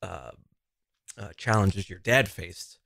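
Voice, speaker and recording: Very deep-voiced male host. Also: expressive, slow pace, creaky, fairly bright tone, dry recording, clean studio sound. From New York. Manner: conversational, restarts his phrases. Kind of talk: podcast interview.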